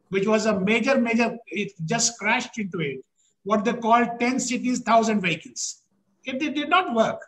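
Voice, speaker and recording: High-pitched middle-aged Indian man. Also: fairly bright tone, low-quality recording. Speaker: government policy official, panelist. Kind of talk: panel discussion on a video call. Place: small office, indoors. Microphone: video call, computer mic at desk.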